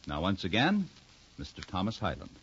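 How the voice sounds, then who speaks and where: A man's voice: low voice, wildly swooping pitch, normal speed, fairly bright tone, muffled recording, slight background noise. A man announcing a 1950s radio show formally and portentously, phrase by phrase, on an old recording.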